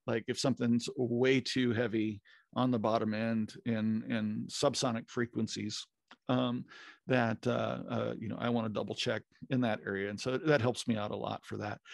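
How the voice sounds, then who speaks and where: A middle-aged man with a gravelly, fry-edged voice talks shop at an even pitch, pausing often on fillers and restarting his sentences. The sound is close and dry, with no room around it. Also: dry recording. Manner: rambling, many fillers and restarts. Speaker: podcast co-host. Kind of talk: casual technical chat. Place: home music studio. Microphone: studio mic with headphones, video call.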